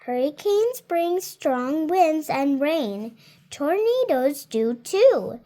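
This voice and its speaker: A woman's voice: sits high, big pitch swings, drawn-out words, mellow. A seven-year-old girl reading a children's nonfiction book aloud carefully, word by word, adding her own small comments. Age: child, 7 years old. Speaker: child reader.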